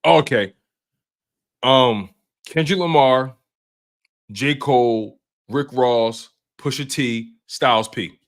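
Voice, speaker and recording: A man in his twenties hosting a sports show live stream, chatting casually with viewers in a relaxed, slangy way, often repeating himself and restarting sentences. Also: mid-range voice, slow, pauses often. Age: 20s.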